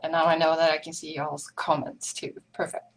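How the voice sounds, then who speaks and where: A woman's voice: low voice, level tone, a little fast, rough recording. A woman from Sweden with a Swedish accent, hosting a live online meetup in a chatty way, with many ums and small laughs. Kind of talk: casual live-stream chat.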